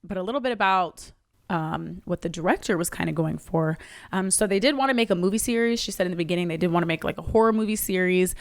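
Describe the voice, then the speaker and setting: A woman's voice: on the low side, fast. A woman co-hosting a casual movie review podcast, chatty and hesitant with frequent ums. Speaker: podcast co-host.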